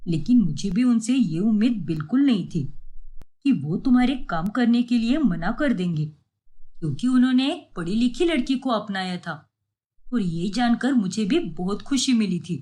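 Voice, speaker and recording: A woman voicing a gentle young husband in an animated family story, reassuring, apologetic and reasoning. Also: on the high side, moderate pace. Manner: soothing, reasoning.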